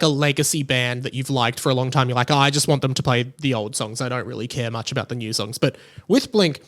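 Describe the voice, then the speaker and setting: An Australian man in his late twenties chatting casually and enthusiastically, as a solo podcast host. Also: a little high. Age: late 20s. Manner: enthusiastic, gushing.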